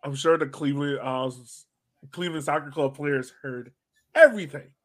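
A man's voice: on the high side, normal speed. A man hosting a soccer fan podcast in casual chat, informal and slangy.

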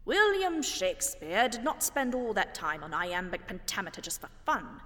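Woman acting a haughty, bright-voiced theatrical grande dame. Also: mid-range voice, big pitch swings, moderate pace, long reverb tail. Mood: haughty, scornful. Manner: pompous, scolding, precise.

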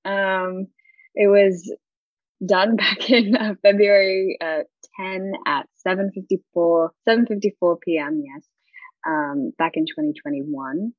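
A woman's voice: medium pitch, fairly even intonation, measured pace, no echo, highs cut off. A young woman with an Australian accent, a singer chatting in an interview, bubbly and rambling with lots of giggles.

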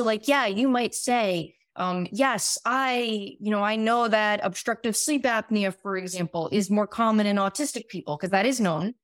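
A woman in her late thirties with a raspy voice, explaining things conversationally as an expert guest, often halting and restarting her phrases. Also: medium pitch, dry recording. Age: late 30s. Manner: halting, self-interrupting, many repeated words. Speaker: podcast guest, medical doctor.

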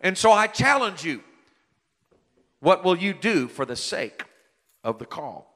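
Man in his late fifties, preacher, bright forceful tone, somewhat high voice, long hall reverb. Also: sing-song, measured pace. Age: 50s. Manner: earnest, rising to emphatic peaks. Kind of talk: sermon with personal testimony.

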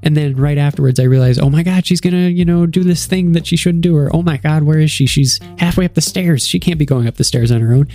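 A man's voice: a little high, fast talker, hardly any pauses, mellow, no echo, faint music behind. A young man with a cold and a stuffy, nasal voice hosts a solo podcast in a chatty, rambling, upbeat way. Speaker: podcast host. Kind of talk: casual solo podcast talk.

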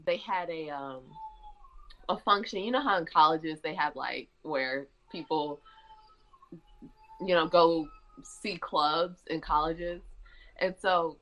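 A woman's voice: low voice, slow, pauses often, a bit bright, lo-fi sound. A woman in her twenties from Michigan, a guest chatting casually on a talk show with lots of restarts and fillers. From Michigan, USA. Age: 20s. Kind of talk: casual conversation.

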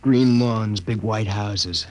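An actor playing a plain-spoken, homesick space lieutenant in old-time radio drama, with a casual, folksy way of talking. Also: male voice, fairly low pitch, slow. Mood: nostalgic, cheerful. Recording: old radio recording with faint noise.

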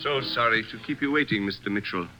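A man's voice: on the low side, quick pace, natural voice, poor audio. A man playing a courteous retired Egyptian statesman in an old-time radio drama, with formal, gracious phrasing. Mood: courteous, grave.

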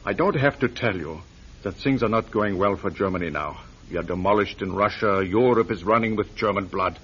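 An actor playing a scheming German intelligence major, smooth and coaxing, in a 1940s radio drama. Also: male voice, lowish voice, fairly quick. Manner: smooth, coaxing, quietly fanatical. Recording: dull lo-fi old radio recording.

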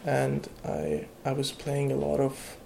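A young man who grew up in Iran talks in a halting, unhurried way, with plenty of fillers and restarts. His voice has a gravelly, fry-laden edge at mid pitch. A faint hiss sits under the recording. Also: monotone, mellow. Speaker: guest, electronic music producer. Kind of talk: casual interview conversation.

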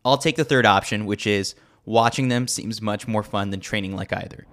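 A man's voice: on the low side, normal speed, a bit bright. A man reading a scripted sports newsletter as a podcast host, with a wry, tongue-in-cheek delivery. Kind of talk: scripted narration, sports newsletter read aloud.

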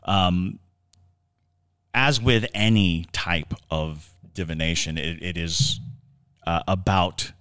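A man co-hosting a podcast, telling stories and explaining in a casual but halting, deliberate way with drawn-out words. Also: low voice, a bit bright, clean tone, no room sound. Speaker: podcast co-host. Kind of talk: casual discussion and storytelling.

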